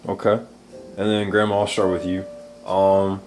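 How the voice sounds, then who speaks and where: Young man with a low voice, asking questions. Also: little pitch movement, measured pace, over music. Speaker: interviewer, grandson. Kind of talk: casual family interview.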